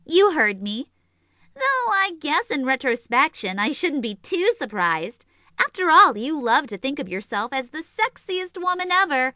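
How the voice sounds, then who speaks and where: Sharp, very high, close and dry: small teenage sorceress with a short fuse, sarcastic anime comedy teasing played by a woman. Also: sing-song, a little slow, no room sound, full character voice. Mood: sly, teasing. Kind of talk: comedic anime fan audio drama acting.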